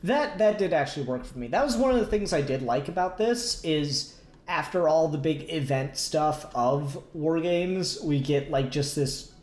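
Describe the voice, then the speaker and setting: A man chatting casually and excitably as a podcast co-host, swearing freely and informally. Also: fairly high pitch, moderate pace. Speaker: podcast co-host reviewing comics. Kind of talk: casual chat. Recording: roomy, echoey voice recording.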